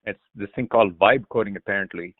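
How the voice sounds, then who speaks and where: Low-voiced halting male caller on phone line. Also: no echo. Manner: halting, repeats words as he builds a point. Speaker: financial analyst caller. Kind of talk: unscripted question on earnings call.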